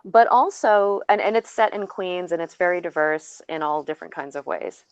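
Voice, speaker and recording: A woman producer talking casually on a panel, explaining in a halting way and often checking in with the listener. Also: fairly low pitch, smooth, no echo.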